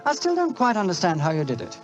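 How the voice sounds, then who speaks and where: An actor playing a dignified, well-bred gentleman of high standing, refined and polite, in 1940s radio drama style. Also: huge pitch swings, fast talker. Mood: grateful, curious. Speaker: actor playing the detective's client. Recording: dull old radio recording with music.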